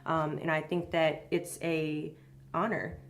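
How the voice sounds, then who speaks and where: A woman's voice: low-pitched, flat delivery, slow, slight background noise. A woman speaking firmly and matter-of-factly in an interview. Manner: firm, matter-of-fact. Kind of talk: interview talk.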